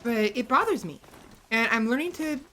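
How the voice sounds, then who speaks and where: A woman with a gravelly, fry-laden voice talks softly and hesitantly into a close microphone while she streams. She circles back and restarts her sentences often. A faint hiss sits under her voice. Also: a little high, lively intonation, normal speed. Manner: soft, hesitant, self-reflective, many restarts. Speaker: VTuber streamer. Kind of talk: casual chat while livestreaming a game. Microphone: close desk mic.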